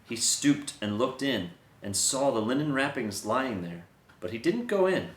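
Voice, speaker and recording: Male storyteller for dramatic scripture narration, mid-pitched voice, recorded in an echoey room. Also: animated, a little slow. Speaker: storyteller, Bible reader.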